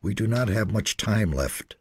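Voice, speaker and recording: An actor playing a solemn exiled sorcerer in a fantasy game, speaking slowly with grave, portentous weight. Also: male voice, lowish voice, lively intonation, slightly rough. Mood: grave, urgent. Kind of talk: dramatic fantasy game narration. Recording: background music behind the voice.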